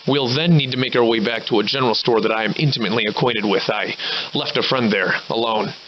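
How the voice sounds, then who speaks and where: A man's voice: mid-range voice, fast talker, a little hiss or hum, lightly acted. A man voicing a surly, hard-drinking, grandiloquent survivor in a video game, with florid old-fashioned diction, in slightly muffled audio. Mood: grave, determined. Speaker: video game protagonist voice actor. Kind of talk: theatrical video game character dialogue.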